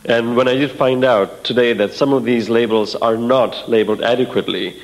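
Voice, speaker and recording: A man from Africa, a journalist, putting a measured and polite question to a spokesman. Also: medium pitch, fairly even intonation, low-quality recording.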